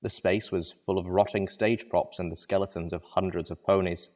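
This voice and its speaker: A man's voice: low voice, fairly even intonation, normal speed, darkish tone. A man reading a story aloud as narrator, in a dramatic first-person style.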